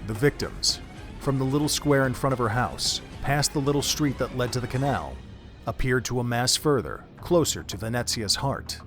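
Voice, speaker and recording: Casting: mid-pitched male narrator, measured and steady, with faint music behind. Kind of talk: scripted audio drama narration.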